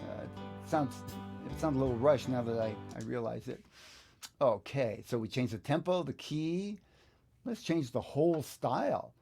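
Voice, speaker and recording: Gravelly, mellow middle-aged man teaching casually. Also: medium pitch, lively intonation. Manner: easygoing, halting, thinking aloud while working. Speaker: guitar teacher presenting a software tutorial. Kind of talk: casual hands-on lesson, thinking aloud. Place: small indoor home studio, seated at computer. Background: backing track music.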